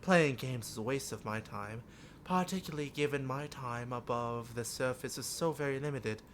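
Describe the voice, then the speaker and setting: A man voicing a proud, blunt female mermaid from a visual novel, speaking in formal, measured sentences. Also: medium pitch, voice pushed down, natural voice. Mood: proud, earnest. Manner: formal, measured, lofty wording. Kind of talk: visual novel character read aloud.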